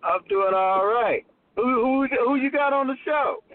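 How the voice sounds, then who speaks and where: Very high-pitched man on the phone. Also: unhurried, no echo. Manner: teasing, informal. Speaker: caller. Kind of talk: casual, bantering chat. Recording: thin, dry phone line.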